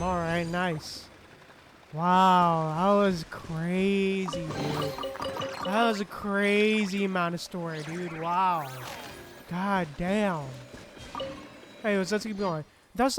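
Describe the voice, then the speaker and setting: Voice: mid-range voice, fairly bright tone. A game streamer with a laid-back, slangy manner, casually reacting and reading bits of text aloud.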